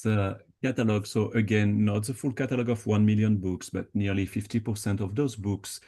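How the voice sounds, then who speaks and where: A man answering audience questions at a conference panel, explaining in a measured way with frequent pauses and fillers. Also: lowish voice, steady tone, dark tone.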